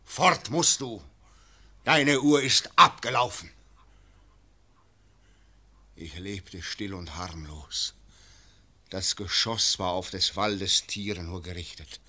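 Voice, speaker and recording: An actor playing a lone archer waiting in ambush, speaking a tense inner monologue in verse. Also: male voice, low-pitched, animated, slow, pauses often, sharp tone, heavily acted. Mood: grim.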